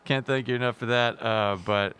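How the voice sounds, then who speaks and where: A young man with a deep voice, a musician guest on a podcast, telling stories in an animated way and laughing often. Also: moderate pace, smooth. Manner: animated storyteller, laughs often, jumps into high voices for quotes. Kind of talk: casual podcast chat.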